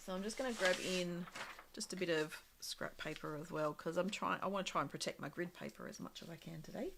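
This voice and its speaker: A woman with a slightly croaky voice from a cold, casually demonstrating a craft project live and thinking aloud as she works. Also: lowish voice, quick pace, background noise. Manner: chatty, thinking aloud with many ums and small laughs.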